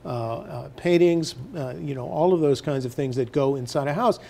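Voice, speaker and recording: Older male interview guest, casual and explanatory, soft-edged tone with creaky fry. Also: medium pitch, normal speed. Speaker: newspaper editor as interview guest. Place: TV news studio, indoors at a desk.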